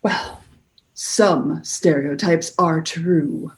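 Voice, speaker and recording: Suspicious military aide, female actor: low, measured, clipped formal delivery for a radio space comedy. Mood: distrustful. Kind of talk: comedy sci-fi radio acting.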